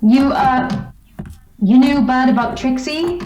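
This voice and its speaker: A roomy echo surrounds the voice. It is a woman performing a middle-aged mother in an old-time radio play, slightly high-pitched, motherly and fussing. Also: expressive, slow, warm tone, slight background noise. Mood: gently probing. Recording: roomy, echoing recording.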